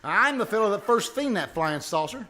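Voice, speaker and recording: A man playing an excitable, boastful, folksy small-town husband in a live old-time radio comedy, with a sputtering, blustery manner. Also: high voice, normal speed. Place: live stage performance at a venue.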